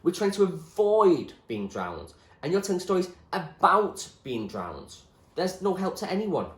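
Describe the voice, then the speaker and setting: Storybook girl, played by a man: urgent, exasperated, pleading, very animated delivery, roomy sound. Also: high voice, unhurried, pitched above the performer's own voice. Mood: insistent. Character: desperate, frustrated girl urging a friend to flee. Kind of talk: acted storybook dialogue.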